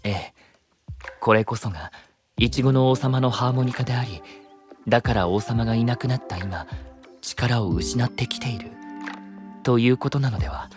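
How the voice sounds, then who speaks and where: A man voices a composed young fantasy knight with scholarly courtesy. His medium-pitched voice explains things politely and formally, with music clearly behind it. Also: normal speed, pauses often, lightly acted. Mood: composed, thoughtful. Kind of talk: Japanese anime-style voice drama acting.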